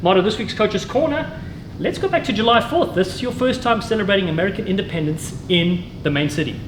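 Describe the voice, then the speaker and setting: A man's voice: high voice, animated, a little fast, roomy sound, background noise. A man with a South African English accent hosting an upbeat sports interview, asking punchy questions. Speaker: host and rugby commentator.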